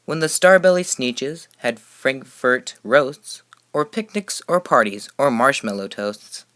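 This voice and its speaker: A man's voice: mid-range voice, a bit bright. A man reading a rhyming children's story aloud with emphatic, rhythmic expression. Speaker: storybook reader.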